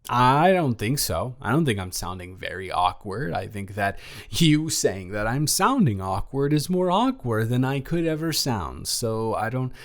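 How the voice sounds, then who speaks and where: A young man playing an anxious, flustered student with a crush in an intimate, conversational audio roleplay, talking in an awkward, halting, rambling way. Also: mid-range voice, big pitch swings. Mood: awkward, evasive.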